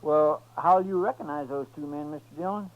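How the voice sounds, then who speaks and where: An actor playing an eager, deferential, wistful American deputy with a folksy, respectful manner in a 1950s radio drama. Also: male voice, a little high, moderate pace, lightly acted. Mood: curious. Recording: muffled 1950s radio recording, crowd behind.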